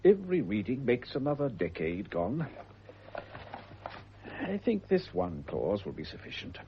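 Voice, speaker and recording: An actor playing a courteous, ceremonial Crown official with a British accent in a radio drama, speaking in a formal, measured way. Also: male voice, medium pitch, wildly swooping pitch, muffled recording, background noise. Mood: formal, solemn.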